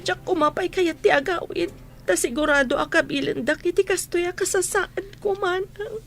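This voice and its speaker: A woman's voice: very high voice, normal speed, gravelly. A woman with an Ilocano accent playing a meek, plaintive young housemaid in a radio drama. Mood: sorrowful. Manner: plaintive, tearful, submissive. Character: meek, pleading young housemaid being cast out.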